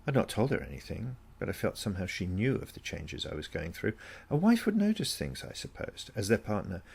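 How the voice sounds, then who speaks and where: A man reading a scripted first-person story aloud in a measured, reflective manner with deliberate phrasing. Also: on the low side, sing-song, gravelly, a little mellow, husky. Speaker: story reader.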